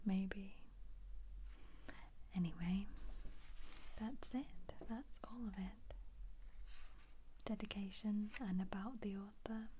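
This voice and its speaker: Whispering woman, soft ASMR ramble. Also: mid-range voice, steady tone, takes it slowly, pauses often, a little hiss or hum, low-quality recording. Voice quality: breathy unvoiced whisper. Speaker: ASMR video creator.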